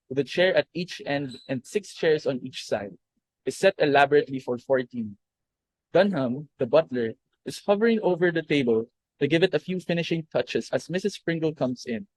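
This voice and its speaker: A young man narrating the stage directions of a play in an even, descriptive reading. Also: a little high, dry recording, poor audio. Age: young adult. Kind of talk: scripted narration.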